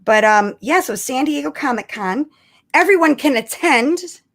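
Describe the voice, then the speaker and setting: A woman's voice: high voice, animated, a little slow. A woman chatting casually and expressively as co-host of a fan livestream.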